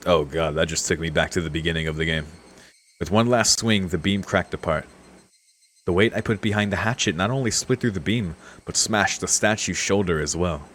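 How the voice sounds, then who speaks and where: Low-voiced man playing and narrating a game. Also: fairly quick, fairly bright tone, slight background noise. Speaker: streamer playing a visual novel. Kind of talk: casual let's-play commentary and reading aloud.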